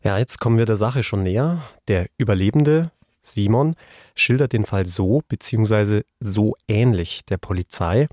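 Mellow, low-voiced man explaining cases. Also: moderate pace, no room sound, studio quality. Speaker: true-crime podcast expert. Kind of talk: conversational case explanation.

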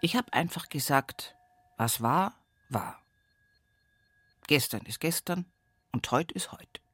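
A woman's voice: low-pitched, expressive, slow, a bit bright, breathy edge, exaggerated voice. An actress playing an older, pious, plain-spoken village woman with an Upper Palatinate Bavarian accent, reminiscing in a folksy radio play monologue. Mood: plain, devout. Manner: folksy, earthy dialect storytelling with emphatic repetitions.

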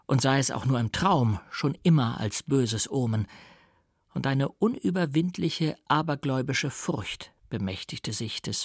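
A man's voice: medium pitch, no echo. Dramatic male audiobook narrator, close and dry. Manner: theatrical, deliberate, emphatic.

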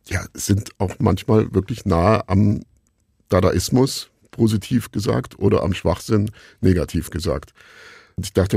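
Deep, gravelly middle-aged man, musing. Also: mellow, no room sound, studio quality. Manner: musing, halting, self-deprecating. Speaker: interview guest, radio drama maker. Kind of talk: reflective conversational storytelling in an interview.

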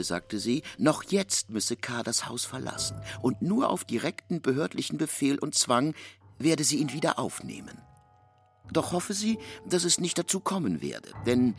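A man narrates literary prose with very animated intonation. He sounds formal and emphatic, and music plays lightly behind him. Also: medium pitch. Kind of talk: scripted literary narration with quoted speech.

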